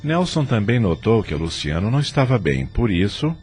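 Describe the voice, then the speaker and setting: A man's voice: fairly low pitch, big pitch swings, a little fast, darkish tone, soft background music. A man narrating an audio novela in a dramatic scripted storytelling style.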